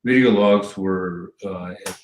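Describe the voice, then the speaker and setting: A man from Southern California telling stories as an interview guest, rambling with many pauses and restarts. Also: low voice, far from the mic. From Southern California, near the Mexican border. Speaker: guest, former TV cameraman. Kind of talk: casual storytelling interview. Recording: echoey room, voice from off mic.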